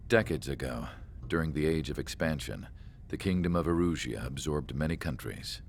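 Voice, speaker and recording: A deep, gravelly wistful narrator. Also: male voice, moderate pace, slight background noise. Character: reflective man, calm, wistful storyteller. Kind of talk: game cutscene narration.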